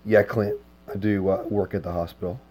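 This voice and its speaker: A man with a hoarse, worn voice chatting casually with his livestream viewers, easygoing and full of filler words. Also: low-pitched, level tone, normal speed, dark tone. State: hoarse, lost voice. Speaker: game streamer.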